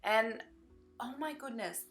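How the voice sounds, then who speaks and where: A young woman chatting casually over a game build in a rambling, easily sidetracked way, her voice slightly croaky from tiredness. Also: fairly high pitch, lively intonation, measured pace, a bit bright, soft background music. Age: young adult. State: slightly croaky from lack of sleep. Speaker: gaming video creator.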